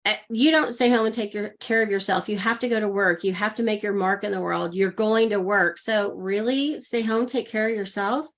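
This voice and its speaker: A woman's voice: mid-range voice, brisk, hardly any pauses. A woman around sixty, a guest coach, telling a personal story in a podcast interview and acting out the voices of people she quotes. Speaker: guest, intuitive leadership coach.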